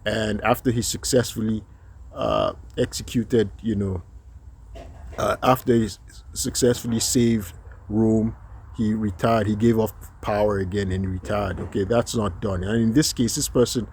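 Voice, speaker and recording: A man from Nigeria giving an unscripted solo commentary, halting often with fillers and restarts and tagging points with a questioning "right?". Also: on the low side, steady tone, a little mellow, a little hiss or hum. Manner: frequent rhetorical "right?" tags.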